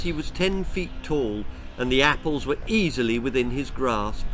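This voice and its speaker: A man's voice: on the high side, pushed and bright. A man with an English accent reading a children's story aloud as a dramatic storyteller. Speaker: author reading own story.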